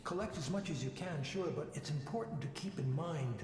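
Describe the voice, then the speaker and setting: A man voicing a composed tech project psychologist in a video game, explaining things in a measured, lecturing way. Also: fairly high pitch, background noise, natural voice. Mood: measured, assured. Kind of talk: scripted game dialogue, lecturing. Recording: echoey hall with long reverb.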